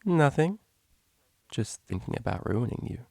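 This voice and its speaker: A man's voice: mid-range voice, sing-song, measured pace, vocal fry, darkish tone, no room sound, clean studio sound, voice pushed up, heavily acted. A man voicing a cheeky, mischievous female unicorn DJ pony, casual and teasing, in a story reading. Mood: sly.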